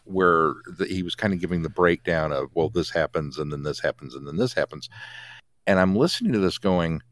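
A man's voice: deep voice, a little fast, a bit bright, dry recording, clean studio sound. A man co-hosting a podcast, casually reviewing in an opinionated way, often restarting his sentences. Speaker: podcast co-host.

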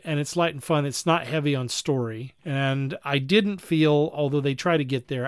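A man's voice: on the high side, no echo, clean studio sound. A man co-hosting a podcast, chatting casually about films and repeating phrases as he thinks. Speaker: podcast host. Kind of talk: casual chat, informal film reviewing.

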